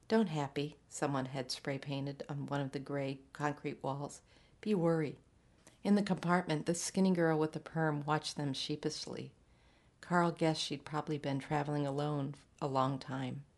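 A woman reading a literary short story aloud in a measured, scripted narration. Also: sits low, fairly even intonation, a little mellow. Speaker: story reader.

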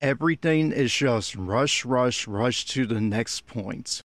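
A man's voice: mid-range voice, slow, a bit bright, no echo, clean studio sound. A man giving blunt, exasperated commentary as he reacts critically to an anime episode. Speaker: podcast host giving audio commentary.

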